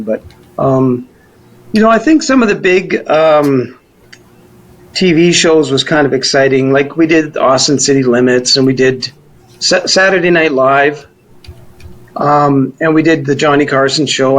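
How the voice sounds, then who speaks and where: A middle-aged or older man, reminiscing casually as an interviewee in a rambling, informal way. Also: medium pitch. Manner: rambling, informal, hedging with "kind of" and "you know". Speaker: musician interviewee.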